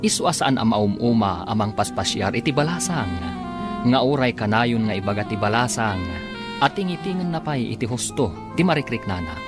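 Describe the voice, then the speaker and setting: A man's voice: medium pitch, animated, moderate pace. Filipino man narrating over music. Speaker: radio drama announcer and narrator. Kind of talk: scripted radio drama narration. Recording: music bed behind announcer.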